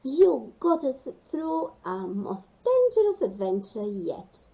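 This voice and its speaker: Casting: woman to play bold young female penguin, storybook, high and bouncy, cheering her friend on. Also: takes it slowly, gravelly, heavily acted, poor audio. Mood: proud. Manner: excitable, encouraging.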